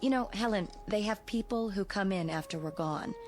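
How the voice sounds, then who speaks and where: An actress playing a sociable, teasing colleague in an audio drama, with breezy, informal, nudging delivery. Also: female voice, mid-range voice, moderate pace, darkish tone, close to an everyday voice. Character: sociable, teasing woman, a teacher's outgoing colleague and friend. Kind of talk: naturalistic audio drama dialogue. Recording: music behind the dialogue.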